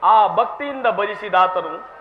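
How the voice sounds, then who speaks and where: A man's voice: high-pitched, slow, highs cut off, slight background noise. A man playing a benevolent supreme god, speaking in formal, stately, authoritative mythological stage declamation. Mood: calm, stately. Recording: roomy echo with faint music.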